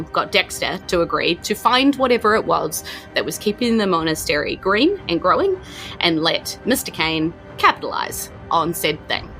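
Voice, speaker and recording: A woman's voice: on the low side, sharp tone. A woman with a New Zealand accent running a tabletop game, narrating the story so far in a chatty way, with fillers and self-corrections. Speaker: game master.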